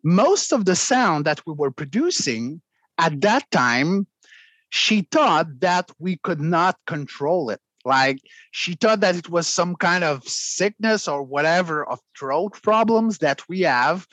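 A man's voice: on the high side, lively intonation, takes it slowly, a bit bright, dry recording. Man explaining his throat singing. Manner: explains with demonstrations, clears throat often. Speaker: guest, extreme vocalist and voice actor. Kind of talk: conversational interview.

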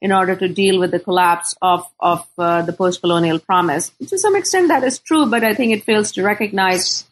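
A middle-aged woman from Pakistan speaking as a scholar in an interview, thoughtful and deliberate, often pausing to search for words. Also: medium pitch, poor audio. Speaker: scholar and author being interviewed. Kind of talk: thoughtful academic interview talk.

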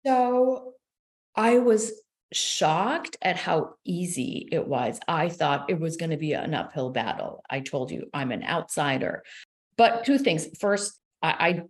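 A middle-aged woman from Israel whose first language is Hebrew, a professor explaining her research in an interview, thoughtful and full of ums. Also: slow pace. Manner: thoughtful, frequent ums, lively pitch swings. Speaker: sociology professor and author. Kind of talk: interview conversation.

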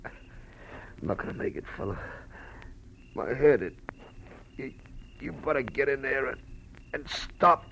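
An actor playing an injured male insurance investigator, groggy and in pain, halting and mumbling in 1940s radio style. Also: medium pitch, animated, vocal fry, sharp tone, full character voice. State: weak, in pain, fading. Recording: noisy old radio drama recording.

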